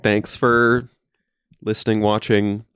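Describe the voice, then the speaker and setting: A man's voice: fairly low pitch, little pitch movement, takes it slowly, fairly bright tone, smooth, no echo, clean studio sound. A man co-hosting a podcast in casual chat, laid-back and ribbing, with lots of "like" fillers, recorded in a friend's basement. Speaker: podcast co-host.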